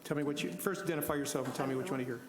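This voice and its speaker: Brisk man presiding at a hearing. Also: mid-range voice, background noise. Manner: brisk, probing, polite. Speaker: special magistrate presiding. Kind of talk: questioning at a hearing. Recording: echoey hall from council mic.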